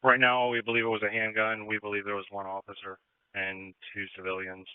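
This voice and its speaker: Male officer, deep monotone, quick measured updates, crisp but phone-thin radio. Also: no echo. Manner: measured, matter-of-fact updates. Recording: tinny police radio.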